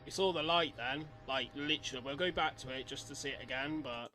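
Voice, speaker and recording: A man's voice: medium pitch, brisk, bright, forceful tone. A man giving a casual live-stream reaction, reading things aloud and commenting as he goes. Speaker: streamer reacting to horror videos.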